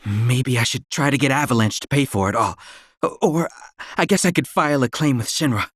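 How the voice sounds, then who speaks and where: A man's voice: medium pitch, brisk, fairly bright tone, breathy edge, no room sound, clean studio sound. A man voicing a harried townsman in a video game, complaining in an exasperated way. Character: harried, complaining townsman fretting over money.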